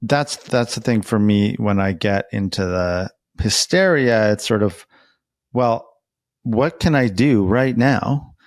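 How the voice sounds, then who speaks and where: A man's voice: lowish voice, slow, no room sound. A man interviewing in a casual, conversational way, reflective and rambling, often hedging as he goes. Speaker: interviewer who works as a therapist.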